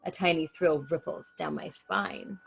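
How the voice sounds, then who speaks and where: A woman's voice: fairly low pitch, fairly even intonation, unhurried, creaky, slightly rough, lo-fi sound. A woman reading a children's story aloud expressively and chatting casually as host.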